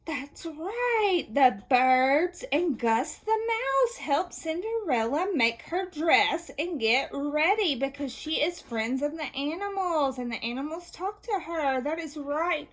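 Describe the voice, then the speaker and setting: High-pitched, animated woman teaching young children. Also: slow pace, hardly any pauses. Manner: encouraging, praising, asks questions and answers them. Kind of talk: read-aloud and question-and-answer lesson for kids.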